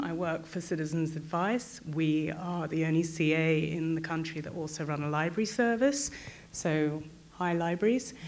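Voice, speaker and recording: A woman in her thirties with a fairly low voice. She speaks from a conference audience, explaining her question directly. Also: creaky. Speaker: audience questioner, advice charity worker. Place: conference auditorium seating, indoors. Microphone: throwable box microphone.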